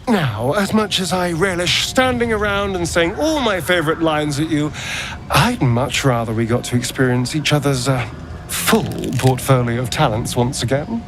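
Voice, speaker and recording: A man's voice: a little high, animated, normal speed, fairly bright tone, soft background music. An actor playing a suave, flirtatious male lover in a game, teasing and purring with theatrical flourish. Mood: playful, flirtatious. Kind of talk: dramatic game romance dialogue.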